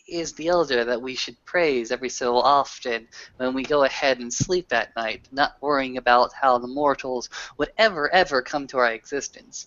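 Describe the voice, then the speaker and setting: Guy doing grand mock-formal rpg storytelling over a muffled voice chat, medium pitch, swears a bit. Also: a bit bright. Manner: theatrical, mock-formal oratory mixed with swearing. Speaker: tabletop roleplay player. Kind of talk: in-character storytelling. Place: online group voice call. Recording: dull lo-fi voice call.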